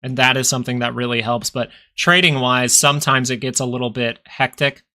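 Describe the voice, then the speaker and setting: A man's voice: mid-range voice, steady tone, a little fast, sharp tone. A young man with a nasal voice hosting a live stock market stream, thinking aloud in casual, rambling talk with frequent restarts. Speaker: stock market livestream host. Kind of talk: live market commentary and chat with viewers.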